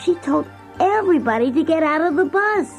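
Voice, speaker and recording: Lively, higher-voiced woman storyteller. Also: fairly quick. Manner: expressive storytelling. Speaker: story narrator. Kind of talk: scripted children's story narration. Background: music.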